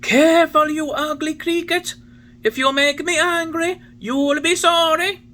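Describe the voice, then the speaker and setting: A woman's voice: sits high, steady tone, measured pace, sharp tone. A woman voicing an impudent wooden boy marionette with cheeky, defiant retorts. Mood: angry.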